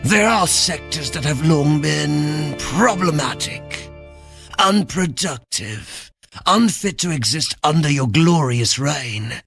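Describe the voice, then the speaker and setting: Scheming fantasy henchman, obsequious, highish pitch, gravelly fry, insinuating, audio drama. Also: lively intonation, slow, fairly bright tone, no echo, exaggerated voice. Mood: sly, coaxing. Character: fawning, scheming male royal advisor, servile and sly. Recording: music behind.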